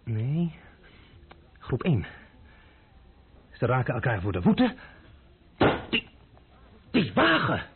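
A man's voice: mid-range voice, wildly swooping pitch, slow pace, creaky, pauses often, exaggerated voice. An actor playing a stubborn, wary young man in a radio play, with a dry, hesitant, thinking-aloud manner. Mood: puzzled, working out. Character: stubborn, wary young Dutchman, puzzled but cheeky. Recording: tinny, narrow-band old radio recording with hiss.

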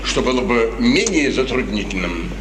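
An actor playing a smooth, scheming courtier in a florid, insinuating, falsely friendly manner, in 1950s radio theatre style. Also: male voice, medium pitch, animated, moderate pace, a bit bright, recorded from a distance, natural voice. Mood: pressing, insinuating. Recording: noisy echoey 1950s radio drama recording.

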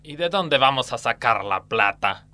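Man as sharp-voiced agreeable Argentine accomplice. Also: mid-range voice, expressive, a little slow, no room sound. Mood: plotting. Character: agreeable, literal-minded male factory worker accomplice. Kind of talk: comedy radio sketch acting.

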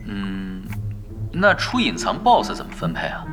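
Expert young player, nonchalant, sly, teasing haggler; animated pitch, audio drama with music underneath. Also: male voice, full character voice. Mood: cool, teasing. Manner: nonchalant, teasing, unhurried bargaining. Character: cool, sly young male expert gamer, relentless haggler. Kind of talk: Mandarin audio drama acting.

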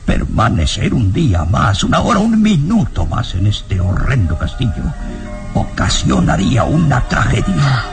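Casting: anxious old professor in radio drama, gravelly, stammering, pitch leaping wildly. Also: male voice, big pitch swings, a little slow, soft background music. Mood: urgent dread. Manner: stammering, hesitant, evasive. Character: nervous, frightened elderly male professor.

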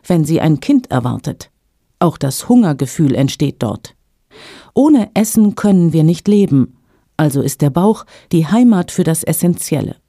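A woman whose native language is Russian, reading her own magazine column aloud in a measured, clear way for language learners. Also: low voice, dark tone, dry recording, studio quality.

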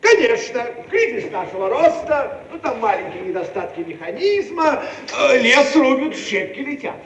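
High-voiced pompous Soviet bureaucrat. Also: male voice, sing-song, a bit bright, lo-fi sound, exaggerated voice. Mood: self-important, patronising. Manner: pompous, rambling, drawn-out stock phrases. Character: pompous self-important male Soviet bureaucrat spouting hollow slogans. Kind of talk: theatrical radio comedy acting. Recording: echoey, distant old radio recording, faint noise.

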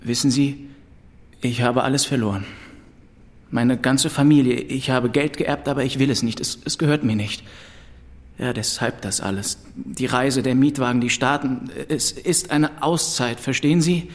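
A man's voice: medium pitch, moderate pace, lightly acted. An actor playing a melancholy young man who narrates his road trip in an introspective, wistful way, in a radio play. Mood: agitated, pleading. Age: young adult. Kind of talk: first-person radio play narration and dialogue. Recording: music behind the voice.

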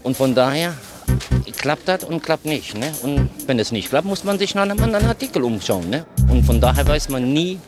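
A man's voice: medium pitch, very animated, brisk. Man talking casually over music. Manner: colloquial, tags phrases with "ne". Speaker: market trader. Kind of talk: casual interview answers. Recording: clear music under the voice.

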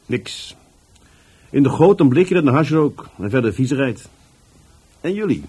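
A male actor playing a bossy, sardonic police adjutant, gruff and deadpan, in a radio play. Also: medium pitch, unhurried. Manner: gruff, deadpan, pompous with subordinates.

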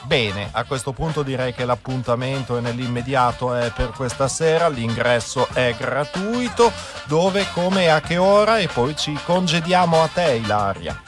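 A man hosts a live morning radio show over clearly audible music. His voice sits at a medium male pitch. He talks in a wordy, courteous, effusive way, as if greeting his regular listeners. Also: lively intonation, normal speed, few pauses. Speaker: radio host. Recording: music playing under the voice. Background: background music.

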